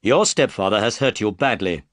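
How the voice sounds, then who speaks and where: A man voicing a calm, shrewd male detective who speaks in a measured, firm way. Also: fairly low pitch, dry recording, clean studio sound, natural voice.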